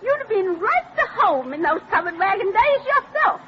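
A woman's voice: very high voice, very animated, moderate pace. An actress playing a folksy, gallant old lady passenger in a 1930s radio drama, given to old-time exclamations. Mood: folksy wonder. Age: older. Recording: noisy, crackly, muffled 1930s radio recording.

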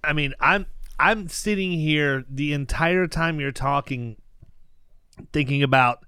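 A man's voice: a little high, unhurried, bright, forceful tone, smooth, no echo, studio quality. A man hosting a casual podcast interview, talking informally and enthusiastically with his guest. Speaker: podcast host, insurance and risk advisor.

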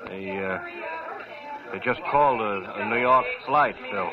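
A man playing a friendly, gruff police lieutenant with an easygoing, hesitant, folksy way of talking in a 1940s radio drama. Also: lowish voice, unhurried, crowd noise, lightly acted. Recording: dull old radio broadcast.

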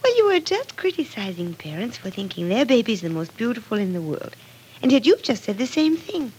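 A gentle young wife and mother in a vintage radio drama. She speaks carefully and softly with a lively rise and fall. A faint noise sits on the old recording. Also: on the high side, a little fast, rough recording. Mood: fondly amused. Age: young adult. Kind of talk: 1940s radio soap acting.

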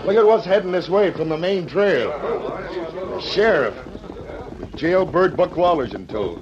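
An actor playing a crooked, blustering American cowboy ranch foreman in an old radio western, with gravelly, folksy talk. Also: sits high, lively intonation, unhurried. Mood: brash. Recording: muffled old radio recording, crowd sounds.

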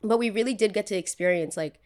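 A woman's voice: medium pitch, fast, darkish tone. A young woman with a New Jersey accent, chatting casually as a podcast host in a blunt, emphatic, slangy way. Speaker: podcast host, content creator.

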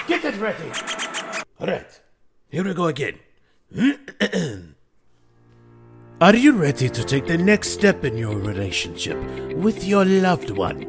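A man voicing a gruff, blustering alien junk dealer who chuckles through a mock radio advert for wedding planning. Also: high voice, pitched above the performer's own voice, heavily acted. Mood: impatient.

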